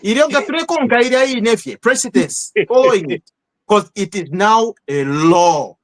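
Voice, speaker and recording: A Zambian man moderating a heated panel debate on a podcast, his voice pitched high and swinging widely as he presses his point. He repeats himself in bursts and slips local phrases into his English. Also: moderate pace. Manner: animated, repeats words and mixes in local language phrases.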